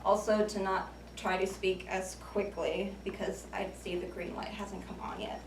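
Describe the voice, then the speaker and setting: A woman's voice: medium pitch, flat delivery, normal speed, slight background noise. A woman in her late twenties giving a prepared, self-deprecating speech with light jokes at a speaking club. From United States. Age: 20s. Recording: echoey room from far off.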